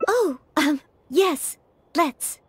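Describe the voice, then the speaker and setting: A woman's voice: high-pitched, expressive, extremely slow, no echo, full character voice. A woman playing an earnest, polite, slightly flustered young female maid-knight in a video game. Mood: sheepish, curious.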